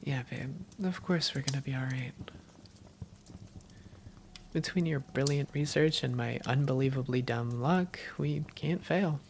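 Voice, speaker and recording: Woman playing a low, creaky-voiced vampire girlfriend. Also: measured pace, noisy background. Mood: fond, cocky.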